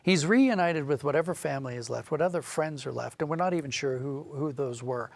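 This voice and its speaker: A man speaking as an interview guest, a writer explaining and telling stories in an animated, conversational way. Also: on the high side, fairly quick, vocal fry, no echo.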